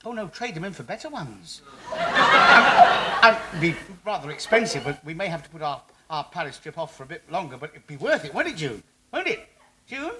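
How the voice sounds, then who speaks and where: Excitable English sitcom husband, noisy recording. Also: male voice, on the high side, brisk. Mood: sheepish, pleading. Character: hapless, excitable, self-pitying English suburban husband. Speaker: sitcom actor. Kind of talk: British TV sitcom acting.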